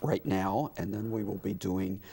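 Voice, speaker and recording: Low, gravelly, husky man chatting. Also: normal speed, mellow. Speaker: interview guest, community theater director. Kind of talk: casual interview talk.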